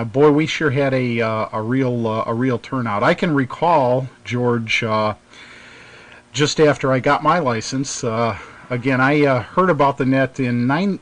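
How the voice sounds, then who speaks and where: A middle-aged American man hosting a radio show, reminiscing casually in a halting, rambling way. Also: mid-range voice.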